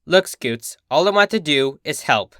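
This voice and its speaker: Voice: mid-range voice, animated, a little slow, a bit bright, no echo, clean studio sound. A narrator voicing a brash, protective big-sister pegasus mare from a cartoon, with a cocky, slangy, insistent delivery. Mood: pushy, caring.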